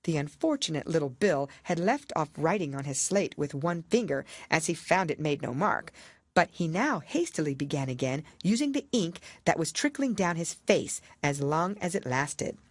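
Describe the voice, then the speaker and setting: A woman narrates a storybook in a lowish voice. Her delivery is expressive and very animated, like scripted audiobook reading, captured in a dry, close recording. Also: moderate pace, dry recording.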